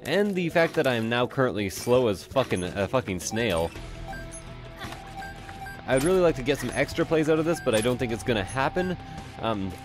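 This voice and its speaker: A congested young man giving casual, rambling let's-play commentary and cursing now and then. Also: medium pitch. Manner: rambling, chatty, swears casually. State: stuffy, congested. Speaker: gameplay commentator.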